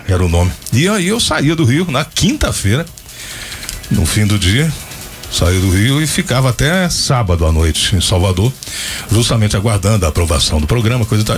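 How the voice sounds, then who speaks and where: A man in his fifties hosting a radio show, chatting casually and rambling off into stories and asides. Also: mid-range voice. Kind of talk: casual on-air chat and storytelling.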